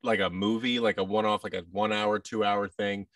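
A man's voice: fairly low pitch, level tone, a little fast, fairly bright tone, dry recording, studio quality, distant mic. A man in his thirties talking casually as an interview guest. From United States. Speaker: interview guest, actor and voice-over artist. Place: large indoor room with tables, on video call. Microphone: boom-arm microphone, headset.

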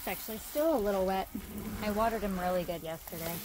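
A woman's voice: mid-range voice, quick pace, noisy background. A woman in her thirties, a homesteader, chatting casually as she walks through her fenced garden outdoors and talks into a handheld camera. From Idaho, USA. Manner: relaxed, chatty, trailing off between points. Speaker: homesteader giving a garden tour. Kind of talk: casual walking garden tour.

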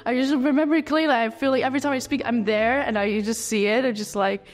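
A young Dutch woman with a Dutch accent, answering interview questions in a casual way, talking quickly and tripping over her words with many restarts. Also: on the high side, fairly even intonation, long reverb tail, soft background music. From Netherlands. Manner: fast talker who trips over words, many restarts and fillers. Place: living-room set with lamp and window, indoors.

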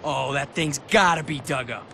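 A man playing an eager, naive young skater in a video game, casual and excitable. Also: fairly high pitch, moderate pace, creaky, a little hiss or hum. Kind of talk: video game cutscene acting.

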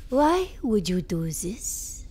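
A woman playing a proud, sharp-tongued, middle-aged mother-in-law in an audio drama, haughty and indignant. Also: medium pitch, sing-song, takes it slowly, slightly rough, heavily acted. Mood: wary, proud. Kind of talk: full-cast audio drama acting.

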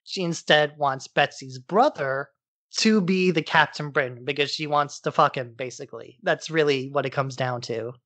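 A man's voice: on the high side, moderate pace, a bit bright, no room sound. A man hosting a podcast, giving a casual, informal recap in loose everyday language. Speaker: podcast host. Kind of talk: casual comic-book recap.

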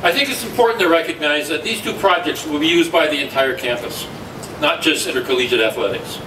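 A man giving steady explanatory remarks at a press conference. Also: a little high, brisk. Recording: echoey room from far off, noisy.

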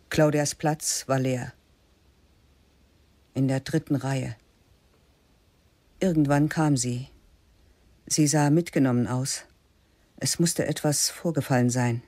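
A woman narrating a literary radio play in a slow, measured, spare voice that often sinks to a whisper. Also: low voice, dark tone, no echo.

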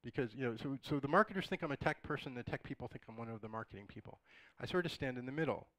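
A middle-aged man talks through a conference lecture in a relaxed way, dropping joking asides to the audience. His voice is slightly raspy and sits at an ordinary pitch. The sound is dry and close. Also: very fast, no echo, low-quality recording. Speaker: conference speaker. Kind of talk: conversational lecture. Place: dark auditorium, in front of a projected slide.

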